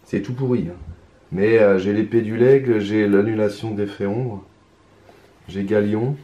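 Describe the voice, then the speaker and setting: A hoarse man talking his way through a solo card game in hesitant, mumbled commentary. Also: lowish voice, fairly even intonation, a little slow, warm tone, muffled recording. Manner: hesitant, mumbling, many restarts, muttering to himself. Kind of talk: casual solo gameplay commentary. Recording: roomy echo, faint ambience.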